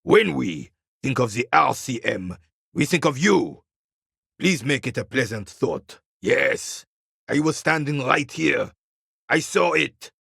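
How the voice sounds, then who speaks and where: A proud old war veteran from a video game, with a heavily creaking, husky voice that swings widely in pitch. He speaks like a formal old soldier, haughty and embittered, grumbling at those beneath him. The sound is dry and close. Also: animated, slow, fairly bright tone, dry recording, full character voice. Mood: formal, measured. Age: older. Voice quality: gravelly, raspy, husky. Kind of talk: dramatic video game character acting.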